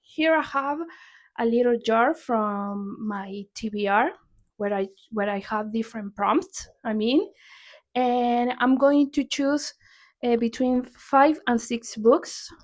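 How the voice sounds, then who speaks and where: Unhurried Argentine woman chatting about books. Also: fairly high pitch. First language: Spanish. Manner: unhurried, chatty, restarts and corrects herself often. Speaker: book vlogger. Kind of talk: casual chat.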